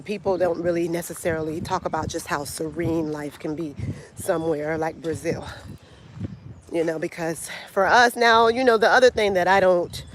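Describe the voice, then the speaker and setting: A woman's voice: fairly low pitch, noisy background. An American woman talking in a casual, opinionated and colloquial way, like a vlogger speaking to her followers. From the United States. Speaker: vlogger and travel coach. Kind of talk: casual vlog monologue to camera.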